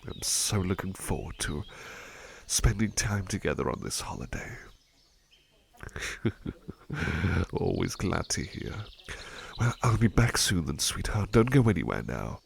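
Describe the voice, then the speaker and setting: A man's voice: deep voice, big pitch swings, a little slow, creaky, long pauses, heavily acted. A man playing a devoted, affectionate butler in an ASMR roleplay, speaking politely and tenderly with hesitant stammers. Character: devoted, affectionate male butler, doting and flustered. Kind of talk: ASMR roleplay, soft personal attention.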